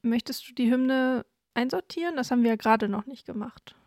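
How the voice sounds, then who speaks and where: A woman's voice: fairly high pitch, brisk, a little mellow, no echo, clean studio sound. A young woman born in Peru co-hosting a casual podcast, chatting and sharing prepared facts in a self-deprecating way. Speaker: podcast co-host. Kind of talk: casual chat with prepared fact segments.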